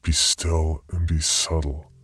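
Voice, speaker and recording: Man doing a detached weary stranger, husky, very slow, dead flat delivery. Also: a bass voice, exaggerated voice. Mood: flat resigned.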